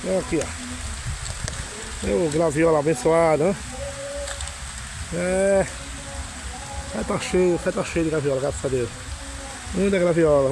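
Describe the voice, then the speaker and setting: A hoarse man, excitedly exclaiming as he films his farm outdoors in the rain on a phone. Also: high-pitched, background noise. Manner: excited, exclaiming and singing along to music. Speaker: vlogger filming his farm. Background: music playing.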